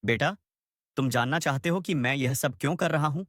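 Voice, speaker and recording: A man's voice: a little high, normal speed, a bit bright, no echo, studio quality, natural voice. A man voicing a wise, kindly old village farmer who gives instructive advice in a storybook tale.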